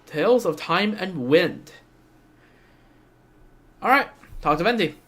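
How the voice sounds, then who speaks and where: A man's voice: high voice, expressive, slow pace, fairly bright tone. A young man giving casual live stream commentary on a game, rambling and thinking aloud. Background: game music.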